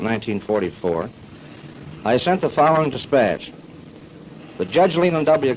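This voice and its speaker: Male correspondent reading, faint background noise. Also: mid-range voice. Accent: American. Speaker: newspaper correspondent. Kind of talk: reading a news dispatch on radio. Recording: faintly noisy 1940s radio recording.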